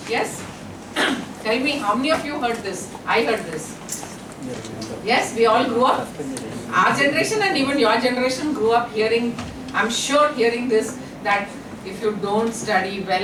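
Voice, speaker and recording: A middle-aged woman giving a lively guest lecture to college students, chatty and engaging, often asking the audience questions. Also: on the high side. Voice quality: loud voice. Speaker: invited guest speaker. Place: college hall. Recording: echoey hall, far from mic, noisy.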